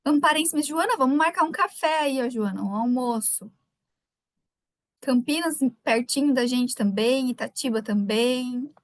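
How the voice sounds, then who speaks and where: Casting: a woman with a slightly high voice and a light Gaúcho accent. She is a chatty webinar host who greets viewers by name. Dry, close computer mic. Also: measured pace, no echo. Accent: light Gaúcho Brazilian Portuguese. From Rio Grande do Sul, Brazil. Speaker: webinar speaker. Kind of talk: live webinar talk.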